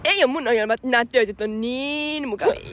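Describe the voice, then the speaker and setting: A woman's voice: sits high, fairly bright tone, raised voice. A woman voicing a flirty, teasing demon jester in an amateur cartoon fandub, playful and prone to swearing. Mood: cheerful. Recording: home recording with clear background noise.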